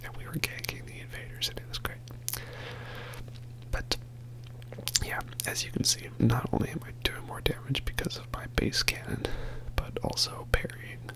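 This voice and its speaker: A man whispers close to the mic in a soft, gravelly hush as he rambles through his gameplay commentary. His whisper rises and falls widely, playful and self-mocking. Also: medium pitch, rough recording. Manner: rambling, soft-spoken, joking about himself with quiet laughs. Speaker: host of an ASMR gaming channel.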